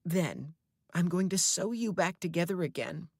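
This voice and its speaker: A woman's voice: lowish voice, animated, moderate pace, gravelly, darkish tone, breathy edge, no room sound, studio quality, voice pushed up, heavily acted. A woman voicing a possessive, menacing male creature that hides in a closet, who speaks in a coaxing, controlling way that turns into threats. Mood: falsely soothing.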